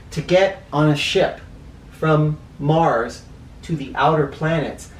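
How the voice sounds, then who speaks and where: A man reads a children's picture book aloud in a roomy, echoey space, a little way from the mic. He has a medium-pitched voice and explains as he goes, slipping into informal asides. Faint noise sits under the recording. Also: recorded from a distance. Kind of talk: read-aloud storytelling with teaching asides.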